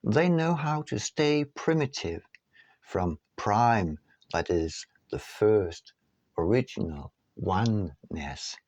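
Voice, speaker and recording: Male author-narrator for audiobook, lowish gravelly voice, deliberate phrasing, lively intonation. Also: no echo, lo-fi sound. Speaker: author reading own book. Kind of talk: audiobook-style scripted narration.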